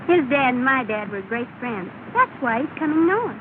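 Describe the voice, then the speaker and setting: A woman's voice: a little high, animated, slow pace. An actress playing a poised, kindly, lightly teasing young society woman in a 1930s film. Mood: light, evasive. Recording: tinny, noisy 1930 film soundtrack.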